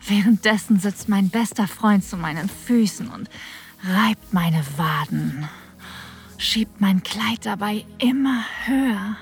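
A sensual woman telling her own intimate story for an audio drama. She speaks very slowly in a breathy voice that often drops toward a whisper. Soft music runs behind her. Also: medium pitch. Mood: sensual, aroused. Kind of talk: erotic audio drama narration.

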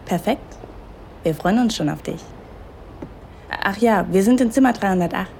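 A teasing holiday flirt. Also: female voice, mid-range voice, lively intonation, normal speed, vocal fry, a little mellow, slight background noise. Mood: flirty. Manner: teasing, playful, confident. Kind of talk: erotic audio drama acting.

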